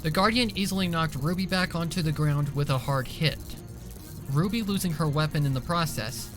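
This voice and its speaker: A man's voice: a little high, moderate pace, music in the background. A man reading scripted dramatic story narration as the narrator of an audio drama.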